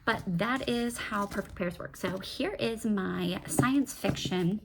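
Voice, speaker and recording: A woman with a creaky, fry-edged voice chats informally as a book vlogger. She rambles, breaks off mid-thought and asks herself questions. There is some noise in the recording behind her. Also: medium pitch, slow. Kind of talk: casual book-talk vlog, unscripted chat.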